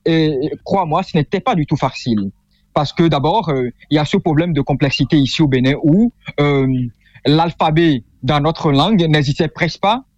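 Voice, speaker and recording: A man's voice: a little high, no echo, lo-fi sound. A young man from Benin, a guest author speaking earnestly with frequent hesitations. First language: Fongbe. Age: young adult. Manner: earnest, explanatory, many hesitations. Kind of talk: interview conversation.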